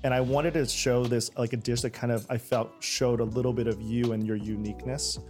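A man's voice: mid-range voice, flat delivery, a little fast, darkish tone, soft background music. Man chef describing a dish. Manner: earnest and descriptive, talking through ingredients. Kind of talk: presenting a dish.

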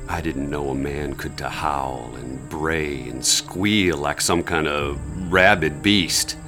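Grim lawman, very low voice, commanding, radio drama narration, music underneath. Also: slow. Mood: grave, haunted. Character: grim, commanding male police inspector recounting swamp raid.

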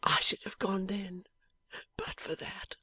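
In an audiobook, a woman reads a gravely wounded young husband in a slow, breathy whisper, his voice weak and halting. He is tender and affectionate, the sound is dry and close, and it sits a little higher than the reader's own voice. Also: monotone, pushed and bright, no room sound, exaggerated voice. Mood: faint, tender. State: weak from injury. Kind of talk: audiobook character voice.

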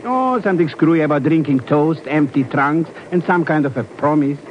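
High-pitched slangy radio sidekick played by a man. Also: moderate pace, natural voice. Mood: eager. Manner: slangy, excitable, self-congratulating. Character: eager, chatty, cocky male sidekick with slangy talk. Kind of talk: 1950s radio comic relief acting. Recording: muffled, noisy 1950s radio recording.

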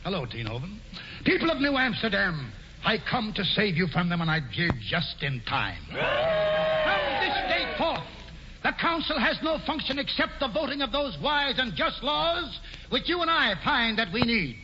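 An actor playing a pompous, scheming colonial governor, grandiose and sly in 1940s radio acting, on a muffled old broadcast. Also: male voice, very high-pitched, big pitch swings, moderate pace, noisy background. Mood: oratorical. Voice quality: grandiose, mock-genial, sly. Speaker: governor Stuyvesant.

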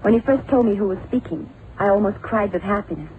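A woman's voice: mid-range voice, quick pace, natural voice. An actress playing a composed, guarded female doctor who answers politely but firmly, in a vintage radio drama. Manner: polite, reserved, firm. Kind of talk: 1960s-70s radio drama acting. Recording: tinny, noisy old radio drama recording.

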